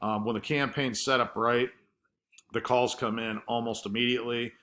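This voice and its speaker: A man's voice: medium pitch, level tone, moderate pace, pushed and bright. A man explaining marketing results in an informal, conversational screen-share presentation, feeling his way hesitantly through his points.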